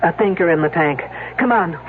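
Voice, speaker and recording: A woman's voice: lowish voice, animated, fairly quick, close to an everyday voice. An actress playing a wry, bossy female detective in a radio mystery drama, with a sardonic, wisecracking delivery. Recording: tinny, hissy old radio broadcast.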